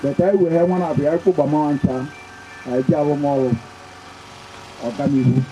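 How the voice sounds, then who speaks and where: A man with a Nigerian accent emceeing a community summit, switching between Pidgin and Igbo in a loose, halting way. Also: a little high, little pitch movement, dark tone, slight background noise, poor audio. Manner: loose, halting announcing with fillers. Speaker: event MC. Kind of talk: public address mixing Pidgin and Igbo.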